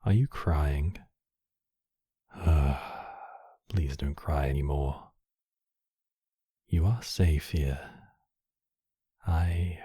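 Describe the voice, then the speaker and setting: A man's voice: extremely low pitch, drawn-out words, long pauses, mellow, breathy edge, no echo, clean studio sound, full character voice. A man playing a commanding yet compassionate demon king, speaking in formal, regal phrases in an intimate ASMR roleplay. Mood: tender, soothing.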